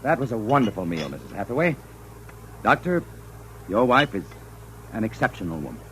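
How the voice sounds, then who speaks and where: Low-voiced, expressive spaceship captain. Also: male voice, brisk. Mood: admiring. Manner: hesitant, courteous, inquisitive. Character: spaceship captain, polite but probing middle-aged man. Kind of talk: 1950s radio drama acting. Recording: noisy vintage 1950s radio recording.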